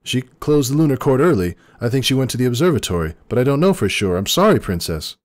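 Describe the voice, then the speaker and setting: A man's voice: medium pitch, expressive, fast talker, pitched above the performer's own voice, natural voice. A man voicing a nervous, confused, deferential stallion in a dramatic story reading, hesitant and anxious. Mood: nervous, apologetic.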